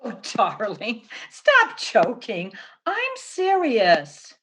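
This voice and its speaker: A woman's voice: on the high side, wildly swooping pitch, very slow, fairly bright tone, full character voice. A woman playing an anxious, affectionate sweetheart in an old-time radio drama. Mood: pleading. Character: anxious, affectionate woman worried about a missing man.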